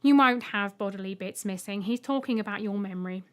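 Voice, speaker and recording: A woman's voice: mid-range voice, fairly quick, clear, resonant tone, lightly acted. Woman voicing a chirpy, obliging little robot egg. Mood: matter-of-fact. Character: small male robot egg alien, cheerfully oblivious, reassuring.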